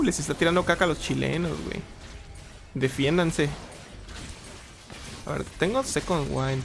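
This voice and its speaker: A young man, chatting casually while playing a strategy game, reading chat and breaking into loud exclaims. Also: on the high side, gravelly, a little hiss or hum. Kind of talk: casual live gameplay commentary. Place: small room at home. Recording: game music behind the voice.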